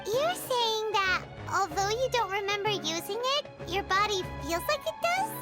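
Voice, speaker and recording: A tiny fairy companion in a video game with an extremely high, squeaky voice. She is childlike and excitable, swinging wildly in pitch, with music behind her. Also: normal speed. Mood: curious. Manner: refers to self in third person, exclamatory. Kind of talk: video game character acting.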